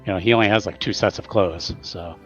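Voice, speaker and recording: A man chats haltingly as a player in a tabletop roleplaying game. His voice is on the low side and a bit muffled, with soft music beneath. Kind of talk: casual game-table chat.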